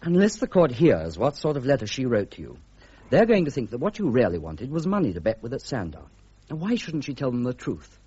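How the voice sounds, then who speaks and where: Wry English officer, swooping intonation. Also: male voice, medium pitch, wildly swooping pitch, fairly quick, warm tone, breathy edge. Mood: urging. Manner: sardonic, frank. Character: wry, outspoken British army officer, the major's loyal friend. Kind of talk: radio drama acting. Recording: muffled radio recording with background noise.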